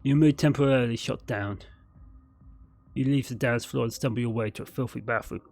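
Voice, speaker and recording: A man's voice: medium pitch, brisk, vocal fry, darkish tone, soft background music. A man reading a visual novel aloud as a narrator, in a dramatic storytelling style with the odd stumble over words. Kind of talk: scripted narration read aloud.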